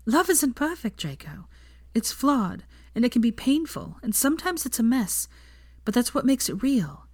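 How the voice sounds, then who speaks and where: A woman voicing a caring, determined young woman in love, gentle, earnest and reassuring, in a romantic story reading. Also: on the high side, big pitch swings, normal speed, husky, no room sound, studio quality, pitched above the performer's own voice, heavily acted. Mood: earnest, loving.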